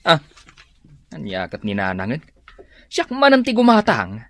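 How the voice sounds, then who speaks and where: A man's voice: fairly high pitch, wildly swooping pitch, a little slow, a bit bright, dry recording, heavily acted. An actor playing a stingy, hard-drinking working man in a radio comedy drama, with an Ilocano accent and mumbled, grunting replies. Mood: complaining.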